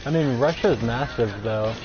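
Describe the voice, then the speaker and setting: A man's voice: mid-range voice, normal speed. A young man from Canada streaming a game, chatting in a loose, rambling way with lots of fillers. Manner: loose, filler-heavy storytelling. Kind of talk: casual rambling chat while gaming. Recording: dull lo-fi sound with music behind.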